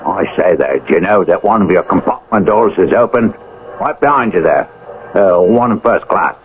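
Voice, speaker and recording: A man's voice: deep voice, lively intonation, normal speed. An actor playing a helpful, inquisitive English station man in a radio drama. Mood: curious, concerned. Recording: dull, noisy old radio drama recording.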